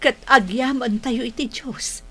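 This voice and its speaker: A woman's voice: on the high side, steady tone, a little slow, natural voice. A woman acting the part of an affectionate middle-aged mother in a radio drama. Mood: forgiving.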